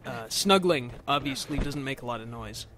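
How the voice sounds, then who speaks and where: A man's voice: medium pitch, lively intonation, normal speed, a crowd in the background. A man chatting casually and jokingly as co-commentator on a video game playthrough. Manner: playful, joking. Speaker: co-commentator on a let's play. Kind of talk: casual chat. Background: video game sounds.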